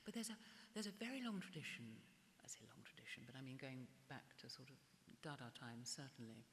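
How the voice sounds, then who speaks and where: An older woman art historian speaking at a public gallery conversation, halting and often restarting her words. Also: extremely low pitch, sing-song, a bit bright. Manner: hesitant, restarts and repeats words. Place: gallery event space before an audience. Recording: echoey hall, faint hiss.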